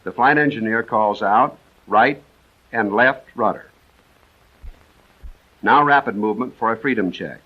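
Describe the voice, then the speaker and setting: A man's voice: fairly low pitch, husky. Dull vintage recording of an American male narrator. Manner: measured, precise, instructional delivery. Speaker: instructional film narrator. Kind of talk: scripted 1940s military training film narration. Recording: dull vintage 1940s film soundtrack.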